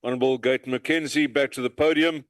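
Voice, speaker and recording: A man chairing a parliamentary session, measured and procedural as he calls speakers and handles points of order. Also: mid-range voice, steady tone. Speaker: house chairperson.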